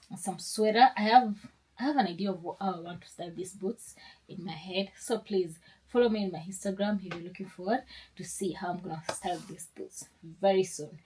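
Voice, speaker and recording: A woman's voice: mid-range voice, a little mellow, slight background noise, rough recording. A young woman, chatting casually in a sit-down vlog, upbeat and rambling with restarts. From Kenya. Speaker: lifestyle vlogger.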